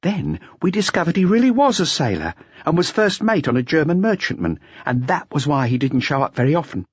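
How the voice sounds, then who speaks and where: A wary, gossiping local informant, acted by a male audiobook reader talks in a raised, animated voice. The recording is dry and slightly muffled. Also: fairly high pitch, a little fast, slightly rough, dry recording, voice pushed up.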